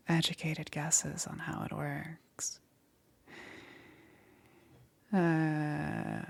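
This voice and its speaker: A woman playing a calm, eerily gentle witch necromancer in an ASMR roleplay, breathy and often whispering, with unhurried pauses. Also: deep voice, full character voice. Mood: musing. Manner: unhurried, halting with pauses, soothing. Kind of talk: ASMR roleplay, soft and intimate.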